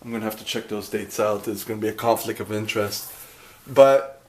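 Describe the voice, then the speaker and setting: A man's voice: lowish voice, steady tone, a little fast, darkish tone. Rambling chatty guy in an echoey room. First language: English. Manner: rambling, chatty, self-interrupting. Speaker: vlogger. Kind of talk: casual talk to camera. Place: dark room at home.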